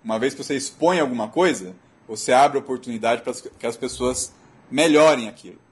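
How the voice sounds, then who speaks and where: Husky Brazilian man giving a technical talk. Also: mid-range voice, fairly quick. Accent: Brazilian Portuguese. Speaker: technical speaker. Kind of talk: technical presentation. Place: auditorium.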